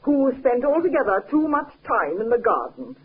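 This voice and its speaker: An actress playing a bitter, jealous, scheming cousin in a 1950s radio drama, speaking with insistent, dramatic emphasis. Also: female voice, on the high side, very animated, moderate pace, husky. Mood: accusing. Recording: muffled, narrow-band 1950s radio recording.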